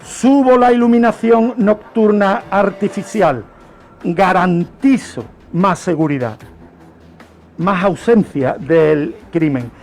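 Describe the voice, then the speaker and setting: A man's voice: sits high, slow, darkish tone, soft background music. A man presenting a history segment on the radio, telling it like an emphatic lecture full of rhetorical questions and repetition.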